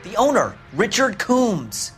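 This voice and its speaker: A man's voice: sits high, wildly swooping pitch, slow pace, a bit bright, soft background music, heavily acted. An actor with an American accent playing an indignant, cornered man under arrest, protesting defensively. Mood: nervous. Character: indignant, cornered male car-theft suspect, defensive. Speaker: voice actor in a detective game. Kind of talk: dramatic game cutscene acting.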